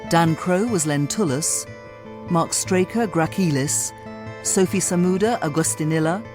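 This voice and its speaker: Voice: mid-range voice, a little slow. A radio announcer reading scripted drama credits in a formal continuity style. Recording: plucked guitar music behind. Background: plucked string music.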